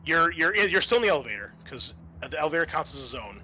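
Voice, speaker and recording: Bright-voiced man running a tabletop game. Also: on the high side, sing-song, very fast. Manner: conversational, explanatory, improvised narration. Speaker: game master. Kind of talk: running a tabletop roleplaying game, explaining turns and narrating.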